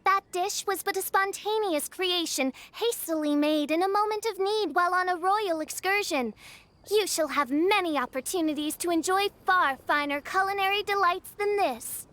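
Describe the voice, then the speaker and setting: A woman's voice: very high-pitched, moderate pace, fairly bright tone, no echo. A woman voicing a theatrical, self-styled princess girl in a video game, speaking in a pompous, formal, archaic manner. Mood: grandly regal. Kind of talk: dramatic game character acting.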